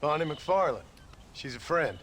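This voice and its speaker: An actor playing a short-tempered former outlaw turned rancher in a video game, with a curt, threatening American Western drawl. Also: male voice, fairly high pitch, expressive, a little slow, a bit bright, a little hiss or hum. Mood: matter-of-fact. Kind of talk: cinematic video game acting.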